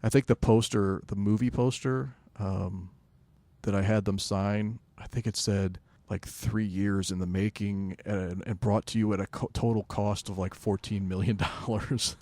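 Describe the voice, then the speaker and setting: A man with a fairly low voice in a dry, close recording. He talks casually as a podcast co-host about pop culture, rambling a little, pausing and rewording his thoughts as he goes. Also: steady tone, mellow, dry recording. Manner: halting, rambling, self-correcting.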